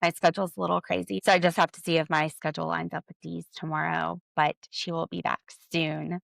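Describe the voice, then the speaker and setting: A woman's voice: on the low side, little pitch movement, brisk, creaky, fairly bright tone, no echo. A woman hosting a podcast, giving a sarcastic, sassy recap of a reality TV episode. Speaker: podcast host.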